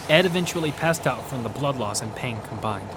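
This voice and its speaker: A man's voice: mid-range voice, animated, a little fast, background noise. A man earnestly narrating a dramatic first-person action story in scripted audio fiction. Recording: loud music bed behind the voice. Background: loud music.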